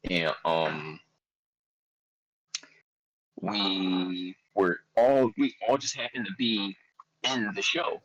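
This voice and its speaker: Slangy Philadelphia man, lowish voice. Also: steady tone, extremely slow, pauses often, fairly bright tone, rough recording. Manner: loose, slangy, quotes himself a lot. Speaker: guest artist. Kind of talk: casual storytelling chat.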